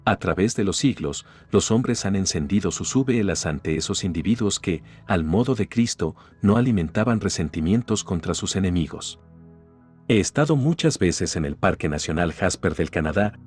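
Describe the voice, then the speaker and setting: A man narrating a self-help audiobook in a steady, even reading voice, reading the quotes in his own voice. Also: low-pitched, slightly rough.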